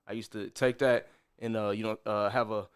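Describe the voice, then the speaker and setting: A man's voice: lowish voice, little pitch movement, normal speed, smooth. A man in his thirties, a podcast guest telling his story in a boastful, profane, hyped-up way. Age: 30s. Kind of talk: casual interview chat.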